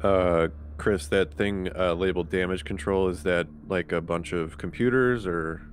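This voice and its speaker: A man's voice: sits low, steady tone, moderate pace, slight background noise. A man chatting casually as a player in a tabletop game, tentative and questioning.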